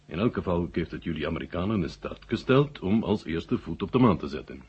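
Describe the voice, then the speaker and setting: A man playing a senior Soviet marshal in a 1970 Dutch radio drama, urbane and dryly ironic, speaking deliberately with a husky voice and thoughtful pauses. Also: sits low, sing-song, darkish tone, exaggerated voice. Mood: dryly frank. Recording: muffled old radio recording.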